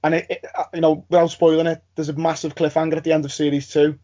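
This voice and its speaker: A man's voice: fairly high pitch, level tone, fast talker, warm tone. A man hosting a casual podcast chat. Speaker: podcast host. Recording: slightly dull, lo-fi audio.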